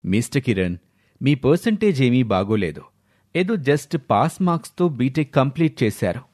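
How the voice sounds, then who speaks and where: A man's voice: medium pitch, very animated, normal speed, darkish tone. A man voicing a curt, dismissive company interviewer within a narrated story. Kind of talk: storybook character voice.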